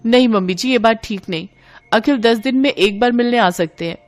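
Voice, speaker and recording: A woman's voice: a little high, a little fast, dry recording, soft background music, lightly acted. A woman voicing a spoiled, blunt young married woman with a breezy, pert manner in an audio story. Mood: complaining.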